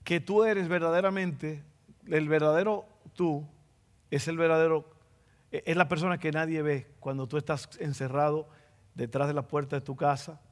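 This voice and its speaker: High-voiced Dominican preacher in a hall. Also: male voice, steady tone, moderate pace. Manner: playful, repeats phrases for emphasis. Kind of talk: sermon with jokes.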